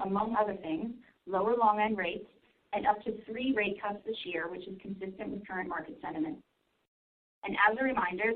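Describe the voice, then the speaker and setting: A woman executive presenting company financial results on an earnings call in a formal, measured corporate manner. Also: medium pitch, narrow, tinny audio. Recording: distant, band-limited conference call line.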